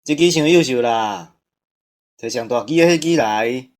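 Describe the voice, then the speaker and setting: An actor playing a kindly old village grandpa, easily startled, in a Taiwanese audio drama. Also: male voice, medium pitch, normal speed, natural voice. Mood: amused.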